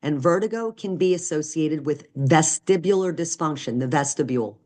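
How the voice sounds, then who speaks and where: A woman with a deep voice giving a recorded nursing lecture, conversational and often checking in with "right?" and "okay?". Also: animated, moderate pace, a little mellow. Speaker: nursing instructor.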